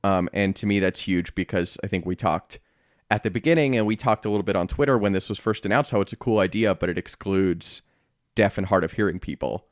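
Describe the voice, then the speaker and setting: A man's voice: low voice, fairly quick, no echo, studio quality. A man co-hosting a podcast, giving his opinions in casual, earnest and upbeat discussion. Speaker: podcast co-host.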